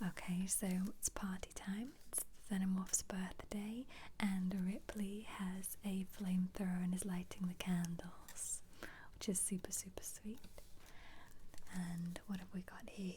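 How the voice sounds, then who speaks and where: Softly whispering ASMR woman, mellow and breathy. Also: mid-range voice, slight background noise. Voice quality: soft, breathy, mostly whispered. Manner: gentle, chatty, musing aloud. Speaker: ASMR video creator. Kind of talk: ASMR, soft-spoken casual book flip-through commentary.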